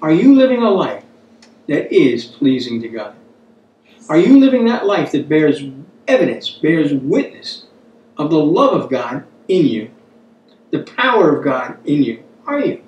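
A man's voice: high-pitched, a little mellow. A man in his fifties teaching a Bible study like a sermon, earnest and exhorting, repeating phrases for emphasis. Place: small room at a wooden table, indoors. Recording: echoey room, distant microphone.

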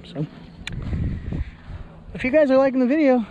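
A man's voice: very high-pitched, moderate pace, dark tone, muffled recording. A young man hosting a casual fishing vlog from a lakeshore, chatty and upbeat, laughing often, recorded on a body-worn camera. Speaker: fishing vlogger. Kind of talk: casual outdoor vlog narration. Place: outdoors on a lakeshore. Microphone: body-worn action camera. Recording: outdoor wind and ambient noise.

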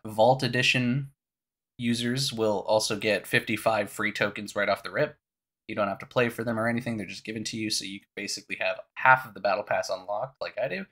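A young man with a low, scratchy voice, raspy from a cough. He talks casually and explains things step by step, like a gaming creator guiding viewers through a menu. He speaks into a headset in a small room at home. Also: fairly bright tone. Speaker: gaming YouTuber. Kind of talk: casual game walkthrough.

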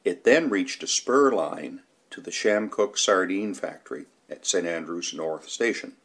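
A man's voice: low-pitched, lively intonation, measured pace, a bit bright. A man reading scripted documentary narration, including old newspaper reports.

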